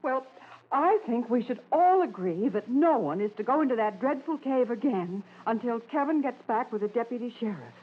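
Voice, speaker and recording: High, anxious wife on tinny radio. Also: female voice, expressive, normal speed. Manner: worried, hesitant. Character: anxious married woman, fretful and earnest. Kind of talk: 1940s radio drama acting. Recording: very tinny old radio recording.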